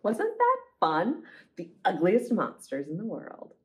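A woman's voice: medium pitch, huge pitch swings, a little slow. A woman hosting a children's storytime, speaking expressively to young listeners and often putting questions to them. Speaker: library storytime host. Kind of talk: children's storytime, reading aloud and chatting to young viewers.

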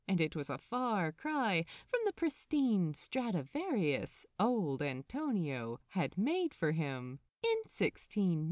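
A woman narrating scripted fan fiction as an audiobook reader, in a measured, expressive way with deliberate pauses. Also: medium pitch, no echo, clean studio sound.

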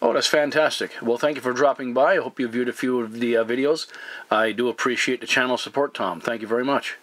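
A man's voice: mid-range voice, steady tone, fast, very noisy. A man working as a CB radio operator, speaking in courteous, formal phrases in a two-way exchange. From Newfoundland, Canada. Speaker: CB radio operator making a contact video.

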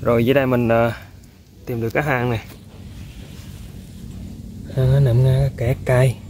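A man giving excited, casual running commentary to viewers while fishing outdoors on a muddy riverbank. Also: mid-range voice, moderate pace, noisy background. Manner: excited exclamations, talking to viewers. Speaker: fishing vlogger.

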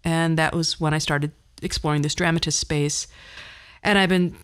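A woman's voice: deep voice, fairly even intonation, normal speed, clean tone. A woman in her fifties hosting a podcast, telling personal stories in a candid, wry and self-deprecating conversational way. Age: 50s. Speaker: podcast host. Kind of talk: personal monologue storytelling, conversational.

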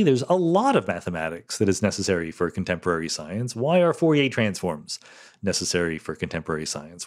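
A middle-aged man hosting a solo podcast, answering listener questions candidly with fillers like "um" and "you know". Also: fairly low pitch. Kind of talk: solo podcast Q&A, thinking aloud.

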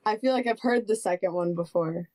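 A woman's voice: medium pitch, a little fast, darkish tone, rough recording. A young woman chatting casually as a guest on a video call. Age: young adult. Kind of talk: casual chat. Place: indoor room at home, video call.

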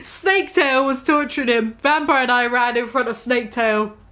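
A woman's voice: on the high side, steady tone, moderate pace, bright, forceful tone. A woman in her twenties giving a theatrical, mocking dramatic reading of fan fiction in a small room.

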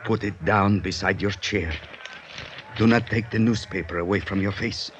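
Man playing a low-voiced secretive foreign informant. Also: dull audio, lightly acted. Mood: guarded, businesslike. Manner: formal, cautious, measured, avoids contractions. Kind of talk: 1950s radio drama acting. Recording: faintly noisy 1950s radio recording.

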